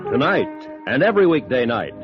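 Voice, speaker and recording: An actor as an upbeat radio announcer, introducing in grand 1950s broadcast style. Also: male voice, mid-range voice, big pitch swings, a little fast, lightly acted. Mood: grand, promotional. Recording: dull vintage radio recording, music behind.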